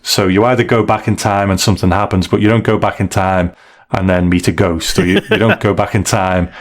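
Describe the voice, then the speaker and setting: A man's voice: sits low, steady tone, brisk. A British man in a casual podcast chat, giving candid, conversational reviews of stories as a co-host. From United Kingdom.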